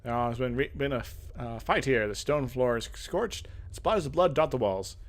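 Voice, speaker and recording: A man's voice: mid-range voice, expressive, moderate pace. A man hosting a gaming video, reading game text dramatically with playful, casual asides. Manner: playful, sing-song humming between readings.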